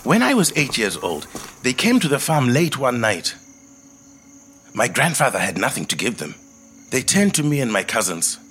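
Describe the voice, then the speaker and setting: An actor playing a quiet, thoughtful middle-aged man who tells his life story in a measured, reflective monologue. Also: medium pitch, big pitch swings, a little hiss or hum. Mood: grave, measured. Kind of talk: scripted first-person monologue, audio drama storytelling.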